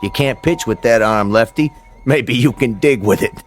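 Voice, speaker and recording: An actor playing a sardonic American bartender in a vintage radio drama, slangy and dryly teasing. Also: male voice, medium pitch, normal speed, faint music behind, lightly acted. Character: wisecracking, sardonic bartender at a neighborhood joint.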